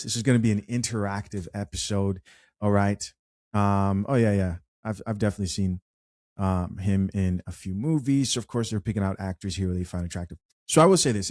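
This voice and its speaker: A man hosting an unscripted commentary show, chatty and animated as he reads viewer comments aloud. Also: fairly low pitch, a little fast, no echo, clean studio sound. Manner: chatty, animated, with frequent fillers and restarts. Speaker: host.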